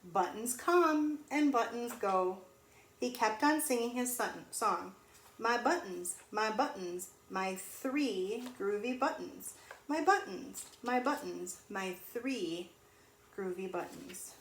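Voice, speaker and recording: A woman teacher reading a storybook aloud to young children in an animated, sing-song way, pausing to ask them questions. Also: a little high. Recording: echoey room with faint noise.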